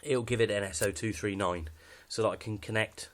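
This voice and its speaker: A man's voice: on the low side, fairly even intonation, a little fast. A British man giving a casual, unscripted walkthrough of his kit in a matter-of-fact, slightly hesitant way. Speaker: hobbyist presenter showing radio kit.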